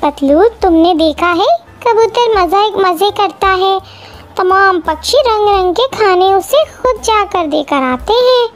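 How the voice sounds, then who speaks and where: A woman's voice: extremely high pitch, lively intonation, measured pace, soft background music. A woman voicing a lazy, scheming crow wife who nags and taunts in a children's cartoon. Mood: scornful, scheming. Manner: bossy, taunting. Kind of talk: Hindi children's cartoon acting.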